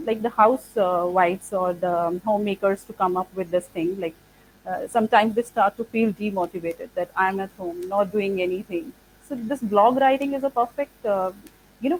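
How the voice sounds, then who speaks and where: A woman whose first language is Punjabi, from India, answering interview questions as an institute founder. She speaks thoughtfully and fills her pauses with "like" and "uh". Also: medium pitch, a little hiss or hum, rough recording. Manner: thoughtful, many "like" and "uh" fillers. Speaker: founder of an English training institute.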